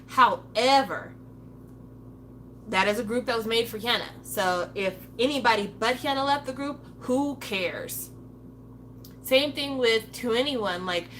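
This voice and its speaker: A woman talks in a loose, unscripted monologue, blunt and swearing freely. She slips into lively impressions of other people as she goes. Her tone is bright and forceful, with a faint hum underneath. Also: mid-range voice, poor audio. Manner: acts out playful voices of others. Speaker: commentator. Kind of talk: casual opinion monologue.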